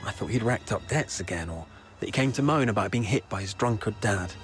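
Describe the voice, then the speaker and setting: An actor playing a young town escort guard in a video game, anxious, chatty and world-weary but soft-hearted, explaining things conversationally. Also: male voice, on the low side, expressive, a little fast, faint music behind, close to an everyday voice. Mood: earnest, uneasy.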